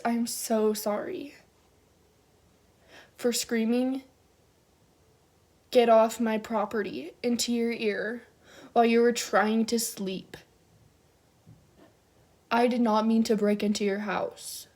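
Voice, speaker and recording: A young woman on a fake-sincere apology, drawn out and unhurried. Her voice sits a little high and sounds overly earnest and mock-tearful. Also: flat delivery, a little mellow. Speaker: youtuber. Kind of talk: parody apology video.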